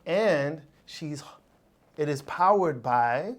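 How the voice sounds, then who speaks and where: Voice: mid-range voice, lively intonation, takes it slowly. A podcast host introducing and interviewing a guest in a friendly, chatty way. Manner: friendly, chatty, jokes about himself or herself. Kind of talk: interview hosting.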